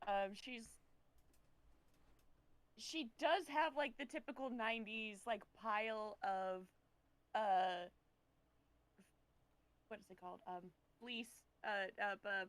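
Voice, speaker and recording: A woman's voice: mid-range voice, slow pace, pauses often, smooth, no echo. A woman playing a tabletop roleplaying game, casually describing her character and often searching for words.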